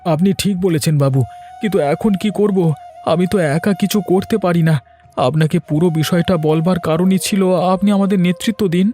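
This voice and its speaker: A man's voice: high voice, normal speed, warm tone, natural voice. A man voicing an anxious, guilt-ridden village man in a fairy tale cartoon. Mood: agitated, urgent. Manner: agitated, stammering when alarmed. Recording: voice over background music.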